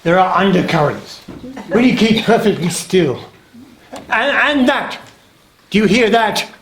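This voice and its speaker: A man acting a suspicious, commanding god of the seas on stage. His delivery is slow and pompous, swinging widely in pitch, and the echoey theatre has an audience in it. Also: expressive, a crowd in the background. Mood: brooding, suspicious. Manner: pompous, terse, formal wording. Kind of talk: live one-act stage comedy acting. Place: stage of a small playhouse.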